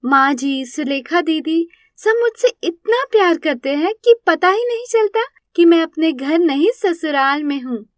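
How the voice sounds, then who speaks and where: A woman voicing a sweet, polite, earnest young woman in an animated moral story. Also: high voice, unhurried, hardly any pauses, no room sound, studio quality, pitched above the performer's own voice. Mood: happy. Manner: polite, pleading, heartfelt.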